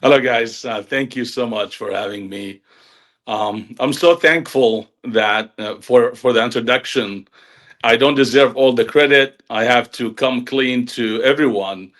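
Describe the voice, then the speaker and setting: A man's voice: medium pitch, a little slow, fairly bright tone. Humble male guest. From Jordan. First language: Arabic. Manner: humble, earnest. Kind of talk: podcast interview.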